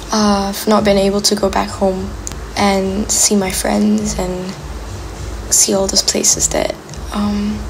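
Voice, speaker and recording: An eighteen-year-old woman who grew up in Malaysia, talking softly and hesitantly in a personal voice memo, sometimes dropping to a whisper. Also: medium pitch, gravelly, a little hiss or hum, rough recording. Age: teens. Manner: soft, hesitant, lots of ums, drops to a whisper.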